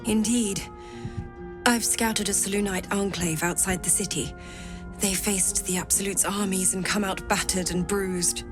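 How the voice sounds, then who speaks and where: An actress playing a gentle, loyal companion in a fantasy video game, speaking politely and earnestly. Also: female voice, mid-range voice, steady tone, unhurried, over music, lightly acted. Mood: earnest resolve.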